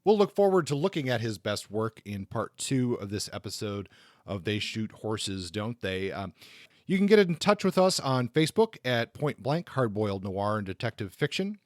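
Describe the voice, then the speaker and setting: A man's voice: fairly low pitch, sing-song, normal speed, dry recording, clean studio sound. A man co-hosting a podcast, talking through a literary biography from notes in an informal, conversational way. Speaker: podcast co-host. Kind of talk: semi-scripted literary biography talk.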